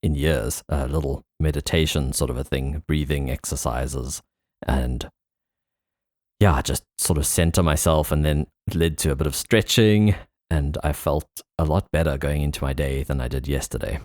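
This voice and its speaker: Very deep-voiced man. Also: normal speed, a little mellow, no echo, studio quality. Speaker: podcast host. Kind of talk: casual interview chat.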